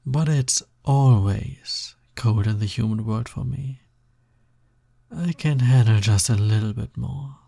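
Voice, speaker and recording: A man voicing a flirty, pushy naga snake demon roommate in an intimate audio roleplay, coaxing and casual with a breathy, husky delivery. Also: medium pitch, takes it slowly, dark tone, no echo. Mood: smug, flirty.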